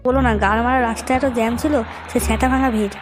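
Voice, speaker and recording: A man voicing a hapless, hot-headed young troublemaker in an amateur comedy cartoon, whiny and excitable, with a raised-pitch effect. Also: very fast, faint music behind. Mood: apologetic.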